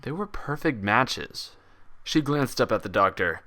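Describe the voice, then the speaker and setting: A man reading fan fiction as scripted story narration, with expressive, dramatic delivery. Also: mid-range voice, a little slow.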